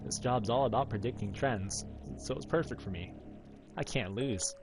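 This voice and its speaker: A man hosting a gaming playthrough, reading a visual novel aloud in a measured storytelling way and lightly acting out dialogue. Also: mid-range voice, over music. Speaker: gaming video host.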